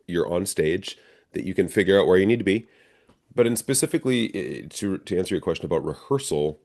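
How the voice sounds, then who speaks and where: Deep-voiced American man, reflective. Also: mellow. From northern Minnesota, United States. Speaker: interview guest, professional stage actor. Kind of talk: conversational interview answers.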